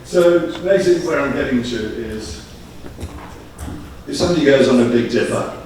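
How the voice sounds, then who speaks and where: A man wraps up a conference talk in a relaxed, conversational way. He sounds distant from the microphone in a very echoey hall. Clear background noise runs under his voice. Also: medium pitch, normal speed. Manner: conversational, uses everyday analogies. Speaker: conference speaker.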